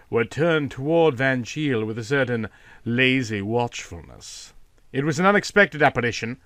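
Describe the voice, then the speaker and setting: Male narrator, mid-pitched, expressive story reading, literary prose, very little room sound. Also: no echo, studio quality.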